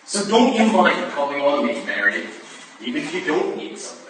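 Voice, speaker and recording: A man's voice: on the high side, big pitch swings, brisk, a bit bright. A man acting a cocky, flirtatious Victorian London policeman in a jaunty, colloquial way, in a staged play reading in an echoey room. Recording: very echoey, distant, noisy room recording.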